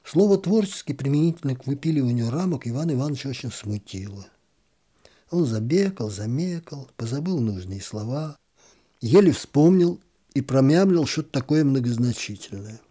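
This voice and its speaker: A man reading a literary story aloud in an expressive, gently ironic storytelling manner. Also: mid-range voice, moderate pace, husky.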